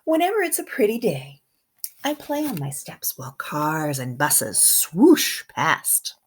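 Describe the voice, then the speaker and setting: Woman, kindergarten teacher type, low register, slightly husky, expressive and animated storybook reading with conversational asides for little listeners. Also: slow. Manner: expressive, engaging young listeners with questions and comments. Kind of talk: children's picture-book read-aloud with chatty asides.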